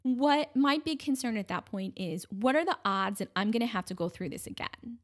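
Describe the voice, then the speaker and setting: A woman's voice: on the high side, animated, moderate pace. Chatty middle-aged woman, conversational. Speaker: podcast guest, breast cancer advocate. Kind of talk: conversational interview.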